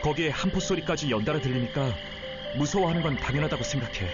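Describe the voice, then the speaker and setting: A man's voice: a little high, animated, quick pace, close to an everyday voice. A man voicing an earnest, resolute young teacher and fighter, polite and firm, in a Korean video game. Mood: calm, thoughtful. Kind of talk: dramatic Korean game voice acting. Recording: muffled game audio under loud music.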